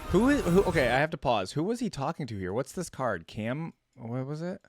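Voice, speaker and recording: A man's voice: a little high, sing-song, a little fast. A man giving casual reaction commentary as a video host, thinking aloud in an informal way. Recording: music playing behind.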